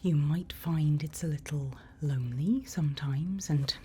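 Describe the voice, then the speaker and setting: A woman whispering gently in an ASMR roleplay, guiding and reassuring the listener in an unhurried manner. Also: low-pitched, slight background noise. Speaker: ASMR roleplay performer playing a night watch guide.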